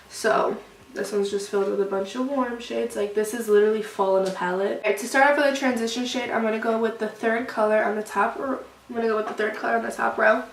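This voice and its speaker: A young woman with a cold, sniffling as she chats loosely through a casual makeup tutorial. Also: a little high. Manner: chatty, loose, many "like" fillers. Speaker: beauty vlogger. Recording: roomy echo, distant mic, faint hiss.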